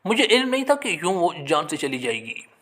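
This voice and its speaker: A man's voice: sits high, big pitch swings, fairly quick, fairly bright tone. A man narrating a scripted first-person story in a dramatic, emotional manner.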